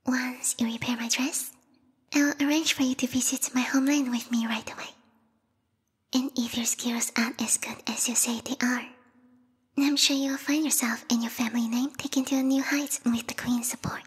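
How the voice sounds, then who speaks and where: A woman voicing a formal, haughty bat girl who serves a vampire queen, speaking in a breathy, whispery ASMR roleplay style close to the microphone, politely menacing. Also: high voice, steady tone, normal speed, in a large hall. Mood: pleased, charmed. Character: yandere bat monster girl, devoted servant of vampire queen. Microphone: close binaural microphone.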